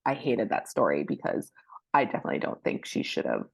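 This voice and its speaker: A woman with a low-pitched voice talks as a guest in a casual interview. She offers advice and small anecdotes, doubling words for emphasis. The sound is dry and close. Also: huge pitch swings, brisk, vocal fry, slightly rough, no echo. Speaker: podcast guest, book marketing professional.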